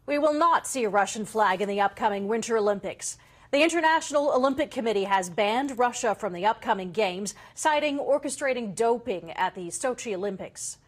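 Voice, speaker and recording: A woman's voice: a little high, animated, fairly quick. A woman anchoring a TV newscast, reading scripted news.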